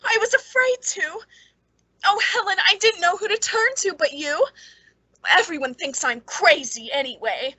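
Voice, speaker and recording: Radio suspense role, for an actress: frightened, brittle, lonely spinster with dark secrets. High, sharp female voice, dry sound. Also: moderate pace, no echo, poor audio. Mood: frightened, pleading. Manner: nervous, intense, brittle. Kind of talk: old-time radio suspense acting.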